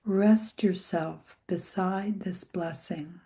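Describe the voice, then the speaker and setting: A woman leading a guided meditation in a gentle, soothing way, with soft phrase endings that trail into breath. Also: fairly low pitch. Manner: gentle, soothing, unhurried with long pauses.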